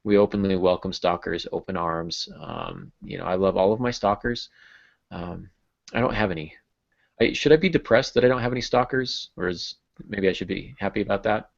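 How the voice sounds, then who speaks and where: A man's voice: deep voice, a little fast, vocal fry. American man hosting over dull call audio. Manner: jokey, self-deprecating. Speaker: podcast host. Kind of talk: casual podcast chat. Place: small home room on a video call.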